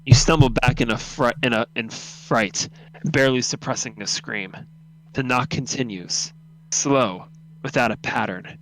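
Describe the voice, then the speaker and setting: A man's voice: medium pitch, unhurried, a bit bright, poor audio. Male narrator for a live horror read-through, mid-range voice, light background noise on a home mic.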